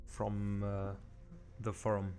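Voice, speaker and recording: A man's voice: on the low side, completely flat delivery, takes it slowly, mellow. Man thinking aloud over music. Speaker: host, game developer streaming. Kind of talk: casual chat while working. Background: music behind the voice.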